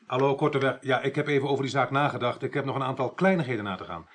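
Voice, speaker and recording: A man's voice: mid-range voice, racing, a little hiss or hum, lightly acted. An actor playing a businesslike, courteous male police inspector in a 1980s Dutch radio drama.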